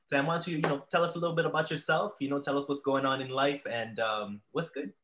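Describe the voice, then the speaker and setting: A man's voice: mid-range voice, fairly even intonation, fast talker, a bit bright. Male podcast co-host, mid-range voice, loose and welcoming, unscripted. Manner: laid-back, welcoming, filler-heavy. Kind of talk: casual podcast interview.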